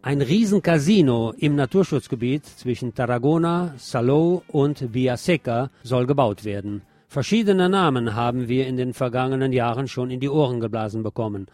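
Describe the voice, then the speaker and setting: A man's voice: medium pitch, normal speed, few pauses, a little mellow, no room sound. A man presenting scripted radio news as a narrator, reading reports in an orderly way. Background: music under the voice.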